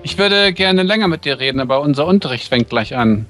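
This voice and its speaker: Man acting as polite schoolboy, slightly high voice, animated pitch, hesitant, visual novel love interest. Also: a little fast, faint music behind. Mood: friendly, hesitant. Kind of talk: visual novel dub reading.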